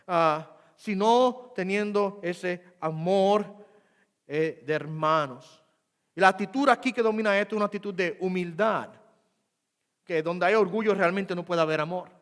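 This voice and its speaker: A male pastor preaching a sermon, rising loud and slow on key points and dropping to quick, quiet asides. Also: sits high, big-room sound. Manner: swells loud and slow for emphasis, then drops to quick murmurs. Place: church sanctuary.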